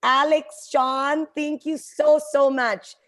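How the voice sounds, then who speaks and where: A woman's voice: sits high, steady tone, slow, a bit bright. A woman whose native language is Hindi, giving an earnest, emphatic motivational talk to a team on a call as a guest speaker. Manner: earnest, emphatic, addresses listeners directly as a team. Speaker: guest speaker, company vice president. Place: online group call.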